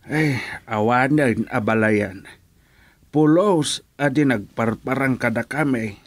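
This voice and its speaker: A man's voice: mid-range voice, slow pace, dry recording. A man acting a mild-mannered, courteous friend in an Ilocano radio drama. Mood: sympathetic.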